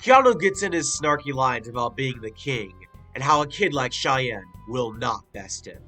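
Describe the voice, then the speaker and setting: A man's voice: mid-range voice, lively intonation, normal speed, bright, forceful tone, soft background music. A man giving a casual spoken review with a stuffy, congested nose, chatty and full of filler words. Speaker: video reviewer.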